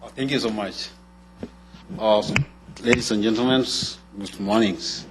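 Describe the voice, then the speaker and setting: A man's voice: lowish voice, steady tone, a little slow, background noise. A man from Sudan who speaks as an added language, thanking a county court in earnest, nonnative phrasing. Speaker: refugee guest speaker.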